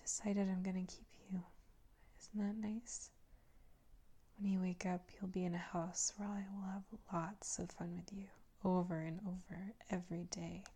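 A woman playing a domineering, cooing character in an intimate adult roleplay, mostly whispering in a breathy, coaxing, condescendingly tender manner. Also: lowish voice, little pitch movement, moderate pace, mellow. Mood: soothing, possessive. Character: domineering, cooing woman who soothes and commands an adult man.

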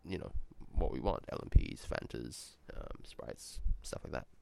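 Gravelly deep-voiced New Zealand guy. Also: lively intonation, a little slow, dark tone. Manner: rambling, swearing, self-correcting. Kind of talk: casual accent-tag vlog.

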